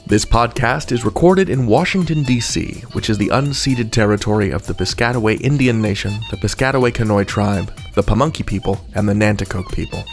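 A man's voice: on the low side, huge pitch swings, brisk, hardly any pauses, faint music behind. A man hosting a podcast from a script, playful, wry and a little theatrical. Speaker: podcast host.

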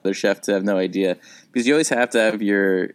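A man's voice: fairly low pitch, brisk. A man in his thirties, a comedian co-hosting a podcast, talking casually and thinking aloud with frequent restarts.

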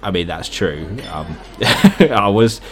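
An awkward, reluctant young man stuck on a date in an improvised radio play, acted by one performer. He speaks low and hesitantly, with a deadpan mumble and slow delivery.

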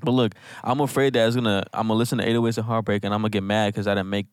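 Fast-talking young man, eager. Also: fairly low pitch, fairly even intonation, clear, resonant tone, no room sound, clean studio sound. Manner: rapid, enthusiastic, insistent. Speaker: guest artist. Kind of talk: casual podcast chat.

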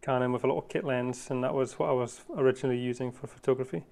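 A man talking as a guest in a casual interview, relaxed and easygoing. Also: mid-range voice, rapid-fire, vocal fry. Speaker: guest wildlife photographer.

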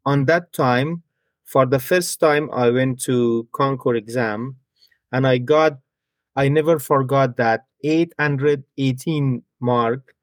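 A man's voice: medium pitch, dry recording. Hesitant Afghan-born man, dry sound. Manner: halting, with frequent fillers and restarts. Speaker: guest. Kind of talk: career interview.

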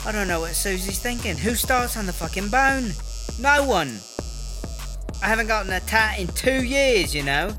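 A woman's voice: medium pitch, expressive, normal speed, music in the background, close to an everyday voice. A woman playing a cocky, defensive, foul-mouthed British inmate in a comedy audio drama, with a slangy, blustering, rambling delivery. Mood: irritated.

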